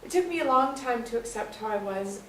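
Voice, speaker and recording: A woman's voice: fairly high pitch, lively intonation, fairly quick, natural voice. A woman playing a kind, encouraging ex-stripper in a staged play reading. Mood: earnest. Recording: roomy, distant mic, hiss.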